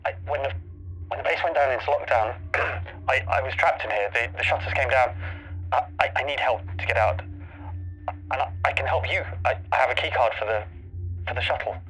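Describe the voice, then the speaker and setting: A man's voice: mid-range voice, fairly quick, long pauses, a bit bright, breathy edge, low-quality recording. A man playing a frightened, trapped woman scientist who stammers and pleads over a communicator in a tabletop game. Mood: anxious, pleading. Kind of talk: tabletop role-play in character.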